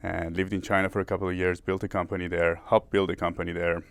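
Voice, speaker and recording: A man from Iran, with a deep voice, speaks as a CEO guest on a talk show. He talks earnestly and often trips over restarts. The sound is close and dry, with no room echo. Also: flat delivery, quick pace. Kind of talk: interview talk.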